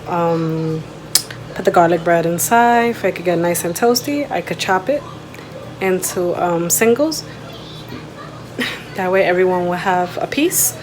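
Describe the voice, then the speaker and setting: A woman chatting informally and directly to her viewers as she films a home cooking video. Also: lowish voice. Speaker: home cook vlogger. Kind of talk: casual chat to viewers while cooking. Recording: clearly noisy home video recording.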